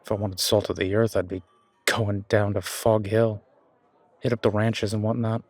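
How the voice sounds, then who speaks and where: A man's voice: on the low side, little pitch movement, normal speed, darkish tone, dry recording, some chatter behind, close to an everyday voice. A man playing a self-doubting chef in an audio roleplay, with a casual, hesitant, slangy way of talking. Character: self-doubting male chef, casual dreamer opening his restaurant. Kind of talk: fan audio roleplay acting.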